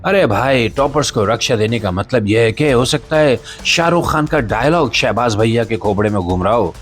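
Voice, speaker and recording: A man's voice: medium pitch, expressive, normal speed. A man with a Pakistani accent hosting a satirical news show, speaking to the audience in a sarcastic, theatrical monologue.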